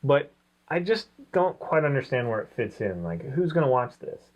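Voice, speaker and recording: A man's voice: medium pitch, sing-song, moderate pace. A man co-hosting a casual review chat, opinionated and rambling as he thinks aloud. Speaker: co-host of a comics review show. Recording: dull, lo-fi sound.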